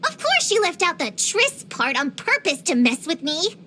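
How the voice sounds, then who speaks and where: Feisty little girl game character, very lively high voice, theatrical, earnest. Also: moderate pace, slight background noise, full character voice. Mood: excited, emphatic. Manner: earnest, theatrical, uses grown-up vocabulary. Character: precocious, feisty, dramatic little girl witch hunter. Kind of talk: scripted video game character voice lines.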